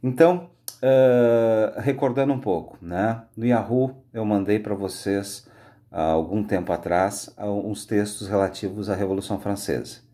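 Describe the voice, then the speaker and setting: A Brazilian man speaking as a high school history teacher, giving an informal, conversational lecture with frequent tag questions. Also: a little slow, warm tone. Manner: conversational, explanatory, frequent "né" tags.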